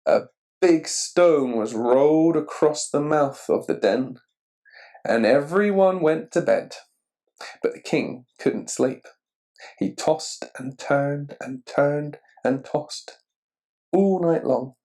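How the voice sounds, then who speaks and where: A man reading a children's picture book aloud expressively and deliberately, putting questions to the listener. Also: on the high side, lively intonation, vocal fry, a little mellow. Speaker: story reader.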